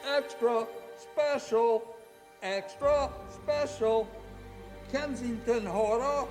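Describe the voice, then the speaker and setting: A man's voice: very high-pitched, drawn-out words, over music, voice pushed up, full character voice. A man playing a street newspaper seller, hawking headlines with repetitive cries in radio drama style. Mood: excited hawking. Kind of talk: theatrical radio drama acting. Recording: echoey theatre hall, distant.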